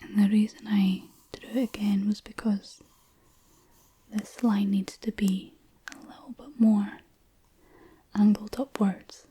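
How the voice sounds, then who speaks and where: A woman softly whispering a beginner Chinese lesson as a study buddy, pronouncing each word slowly and carefully on a close mic. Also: medium pitch, breathy edge, low-quality recording. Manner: soft whisper, slow careful pronunciation. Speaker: language tutor, study buddy.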